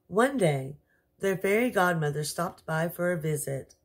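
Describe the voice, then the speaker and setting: A middle-aged woman narrates a children's storybook. Her voice is slightly low and husky, and she takes her time with an expressive, measured delivery. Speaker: storyteller host in Mrs. Claus persona. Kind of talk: scripted children's read-aloud narration.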